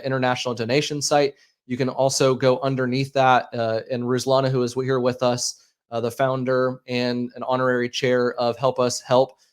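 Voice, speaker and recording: An American man in his thirties hosting a livestream, making an earnest, halting appeal to viewers with many fillers and repeated words. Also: medium pitch. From United States. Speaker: livestream host. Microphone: speaking into a microphone.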